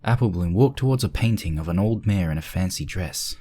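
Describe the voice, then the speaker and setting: Male narrator, low register, reading prose fiction from a script. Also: lively intonation, fairly quick, mellow.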